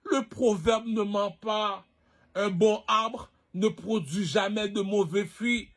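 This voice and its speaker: High-pitched man, boastful and provocative. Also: steady tone, slow, a bit bright. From Haiti. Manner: boastful, provocative, repeats catchphrases. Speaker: online personality addressing followers. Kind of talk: rambling, slangy monologue to camera.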